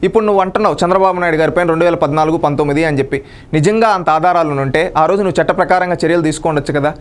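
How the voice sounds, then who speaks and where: A man's voice: on the high side, rapid-fire, clear, resonant tone. A man gives unscripted political commentary, accusing and scolding his opponents with pointed rhetorical questions.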